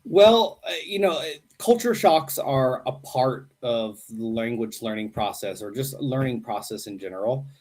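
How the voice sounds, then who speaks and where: A middle-aged man from the United States, an English teacher, answering interview questions in a relaxed, chatty way and often adding "right?". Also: mid-range voice, normal speed, few pauses. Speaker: English teacher at a university program.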